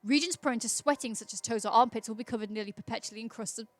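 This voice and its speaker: A woman's voice: on the high side, quick pace. A woman hosting a livestream, chatting casually and reading aloud informally, with small stumbles and self-corrections. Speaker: livestream host.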